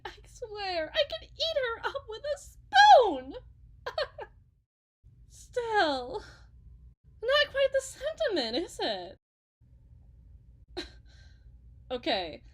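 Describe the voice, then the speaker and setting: Woman acting a very high-voiced, fervent faith healer. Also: heavily acted. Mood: doting, coaxing. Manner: theatrical, pleading, sing-song preaching with drawn-out words and sudden hushes. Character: fervent, unsettling female faith healer and self-styled prophet, sweetly coaxing. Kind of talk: dramatic ASMR roleplay monologue.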